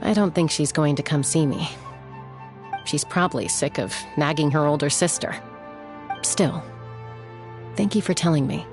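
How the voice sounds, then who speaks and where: An actress playing a gentle, regretful elder sister in a video game, with a subdued, restrained delivery. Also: female voice, sits low, normal speed, long pauses. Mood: wistful, gentle. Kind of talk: video game character dialogue. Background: background music.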